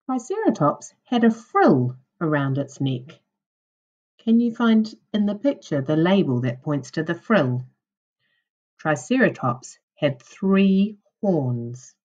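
A woman with a low, husky voice reads aloud as a primary school teacher would to young children. Her pace is unhurried and her intonation rises and falls in a very animated way, with careful, instructional phrasing. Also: lo-fi sound. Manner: deliberate, instructional, questioning delivery for young children. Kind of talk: reading a children's non-fiction book aloud.

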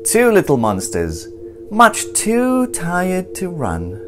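Slow, theatrical children's storybook reader. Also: medium pitch, wildly swooping pitch, fairly bright tone. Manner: theatrical, deliberate, suspenseful delivery. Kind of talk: expressive children's read-aloud. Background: music and sound effects.

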